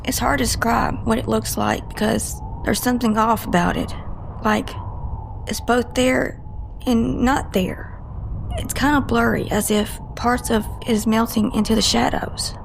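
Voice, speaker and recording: A woman acting as a grieving female agent in an audio drama, her voice fairly high with a heavy creak. She confides haltingly and guardedly, breaking off mid-sentence, over faint background noise. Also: fairly even intonation, poor audio. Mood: uneasy, emphatic.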